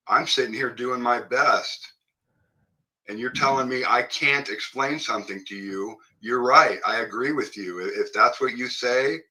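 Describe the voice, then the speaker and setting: A man's voice: medium pitch, sharp tone, poor audio. A man guesting on a live stream, arguing defensively and sounding exasperated. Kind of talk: heated argument.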